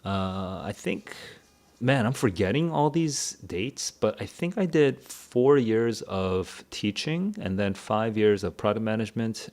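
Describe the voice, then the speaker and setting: A man's voice: deep voice, a little mellow. A man in his thirties, a tutor, answering viewer questions in a candid, rambling livestream chat. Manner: candid, rambling, thinks aloud. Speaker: tutor and educator. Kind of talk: casual livestream Q&A.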